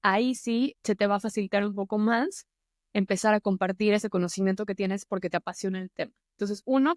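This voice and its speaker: A woman's voice: medium pitch, no room sound, clean studio sound. A woman with a Mexican Spanish accent giving earnest, encouraging advice to the camera as a public-speaking mentor and YouTube host.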